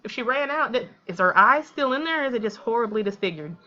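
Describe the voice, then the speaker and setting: A woman's voice: a little high, expressive, fast talker, sharp tone, muffled recording. A woman reading a visual novel aloud as a let's player, with informal, colloquial reactions along the way.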